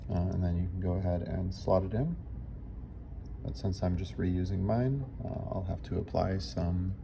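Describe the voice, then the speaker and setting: Low creaky guy walking thru a repair step by step, informal, noticeable background noise. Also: fairly even intonation, moderate pace. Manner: informal, unscripted, talking through each step while working. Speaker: repair technician giving a tutorial. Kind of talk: casual hands-on how-to narration.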